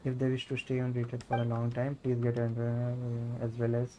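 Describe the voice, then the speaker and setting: A man's voice: medium pitch, monotone, normal speed, background noise. A man with an Indian accent giving casual gameplay commentary as a streamer, with game music behind him.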